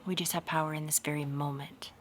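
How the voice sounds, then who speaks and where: A woman leading a slow guided meditation in a measured, hushed voice that often softens into breathiness, recorded outdoors. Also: sits low, fairly even intonation, a little hiss or hum. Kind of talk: slow, soothing guided meditation with hypnotic induction. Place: outdoors in a garden. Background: faint outdoor garden ambience.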